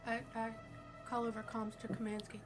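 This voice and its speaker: A woman with a fairly high voice plays a tabletop roleplaying game. She chats casually in character with theatrical, salty phrasing, and music plays clearly behind her. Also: little pitch movement, a little fast. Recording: background music.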